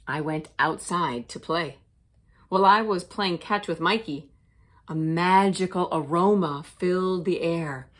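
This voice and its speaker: A woman reads a picture book aloud as a teacher would. Her voice sits a little low, and she takes her time, phrasing each line expressively for young children. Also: unhurried. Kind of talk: children's storybook read-aloud.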